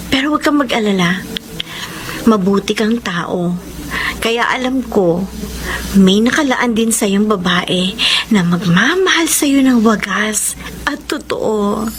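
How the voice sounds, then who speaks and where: An actress playing a gentle, affectionate young woman with a Filipino accent in a radio romance drama. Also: mid-range voice, background noise. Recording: music bed behind the voice.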